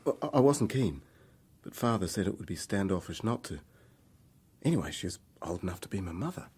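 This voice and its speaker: Troubled young man, very low husky voice, rueful, confessional, radio drama. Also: expressive, a little fast, darkish tone, exaggerated voice. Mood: candid, wry.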